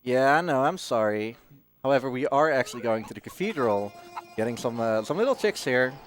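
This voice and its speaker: A man, non-native in English, commentates casually on his own video game run. His pitch moves a lot and he rambles into wry asides, with game music clearly behind him. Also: lively intonation, brisk. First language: not English. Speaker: speedrunner. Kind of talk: casual live gameplay commentary.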